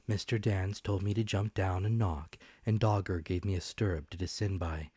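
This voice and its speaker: Low voiced guy doing dramatic audiobook narration, old adventure tale, really dry close recording. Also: steady tone, moderate pace, dark tone, breathy edge, no room sound. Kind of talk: dramatic scripted narration of classic adventure novel.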